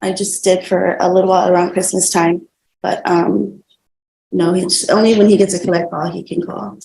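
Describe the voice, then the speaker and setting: A woman's voice: on the low side, little pitch movement, creaky, mellow. Hesitant woman testifying in an echoey courtroom. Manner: hesitant, halting answers with fillers. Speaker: witness. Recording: echoey courtroom with faint room noise.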